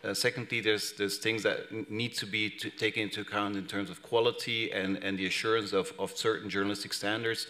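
A man in his thirties from Belgium answering questions on a conference panel, halting with many restarts, speaking into a table microphone in a large hall. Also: on the low side, level tone, sharp tone. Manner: hesitant, many restarts and fillers. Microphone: table microphone on stand.

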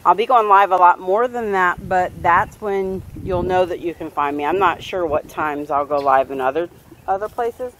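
A woman hosting a pet vlog, chatting casually and playfully to animals and viewers, with sing-song exclamations and drawn-out words. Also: on the low side, a bit bright, a little hiss or hum. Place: outdoors in a backyard by a pool.

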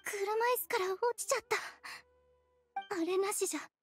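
Casting: childlike, sickly young girl, earnest and wistful, very high voice, music underneath, anime game. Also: brisk. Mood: distressed. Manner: soft-hearted, childlike, earnest.

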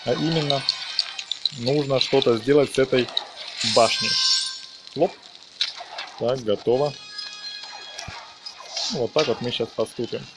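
A man's voice: medium pitch, steady tone, a little slow, long pauses, loud music behind the voice. A man giving casual, playful let's play commentary, talking along with the action of a video game. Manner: playful, talks along with the action, uses diminutives. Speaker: gameplay commentator.

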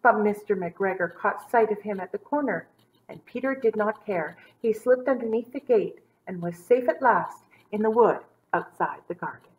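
A woman in her thirties reading a children's story aloud with dramatic emphasis and drawn-out words. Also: mid-range voice, expressive, phone-quality sound. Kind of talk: expressive storybook read-aloud for young children. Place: small indoor room set up as a classroom.

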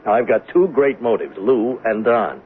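An actor playing a dogged, puzzled police lieutenant in a 1970s radio mystery, with a blunt, probing manner. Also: male voice, lowish voice, animated, normal speed, close to an everyday voice. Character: dogged, puzzled male police lieutenant working a murder case. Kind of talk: 1970s radio drama acting. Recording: tinny, faintly noisy old radio broadcast.

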